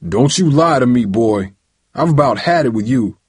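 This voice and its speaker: A man voicing a furious, domineering middle-aged uncle in an audiobook story, loud and bellowing as he scolds in colloquial speech. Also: medium pitch, lively intonation, normal speed, breathy edge, no echo, clean studio sound. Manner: stern, colloquial, confrontational scolding.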